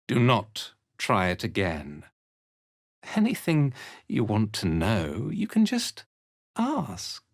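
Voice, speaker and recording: An actor playing a cold, condescending cult commander in a video game, speaking in a formal, measured way. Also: deep voice, no room sound, studio quality, heavily acted. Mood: cold disdain. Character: haughty, cold cult commander, disdainful slave-driver and zealot. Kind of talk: video game character dialogue.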